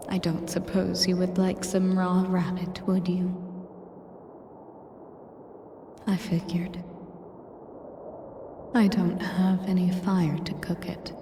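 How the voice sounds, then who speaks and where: A woman playing a sadistic, possessive female dragon in an intimate audio roleplay, speaking in a measured, formal, breathy and commanding way. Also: lowish voice, background noise. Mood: calm, condescending. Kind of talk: slow, intimate audio roleplay addressed to the listener.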